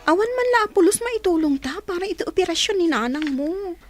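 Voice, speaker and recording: A woman's voice: very high-pitched, lively intonation, fairly quick. A woman playing an earnest, concerned daughter-in-law in a radio drama, with an Ilocano accent. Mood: dismayed.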